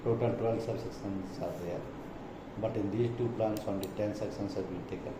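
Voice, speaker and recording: Male trainer, Indian accent, didactic explanatory delivery, captured in a reverberant room with clear noise. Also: lowish voice, fairly even intonation, normal speed. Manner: didactic, reading points then explaining them. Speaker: insurance trainer. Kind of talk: explanatory product presentation.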